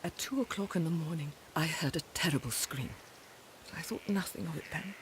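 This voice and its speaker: Actress as sorrowful widow, faint ambient noise. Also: female voice, deep voice, fairly quick, lightly acted. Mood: troubled, recounting. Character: sorrowful, respectable middle-aged Victorian widow. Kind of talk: scripted game dialogue.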